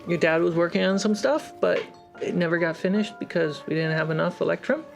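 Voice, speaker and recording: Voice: mid-range voice, fairly quick, vocal fry, faint music behind. A game master narrating a tabletop role-playing game in casual, descriptive phrases.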